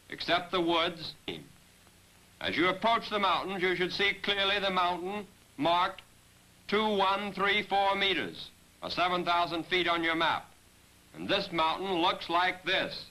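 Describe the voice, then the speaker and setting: A man narrating a scripted military training film as a briefing, in a measured, directive manner, on a 1940s film soundtrack. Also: high-pitched, pushed and bright, low-quality recording. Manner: measured, directive, addressing aircrews. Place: voice-over recording for a 1943 film.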